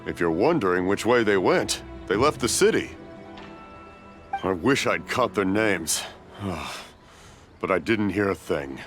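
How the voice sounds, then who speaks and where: A man's voice: low-pitched, expressive, slow, long pauses, fairly bright tone, full character voice. An actor playing a hard-of-hearing old father, fretful and apologetic, in a video game. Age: older. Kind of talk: English video game voice acting. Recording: music under the voice.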